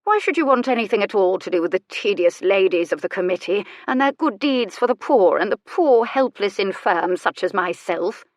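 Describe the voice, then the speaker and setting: A woman's voice: medium pitch, animated, normal speed, hardly any pauses, no room sound, lightly acted. Lady reader doing a snooty old noble lady from a novel, wicked dry teasing, very theatrical, super dry sound. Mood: imperious, wry. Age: older. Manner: haughty, theatrical, dryly teasing. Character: elderly bedridden aristocratic lady, sharp-tongued and mischievous.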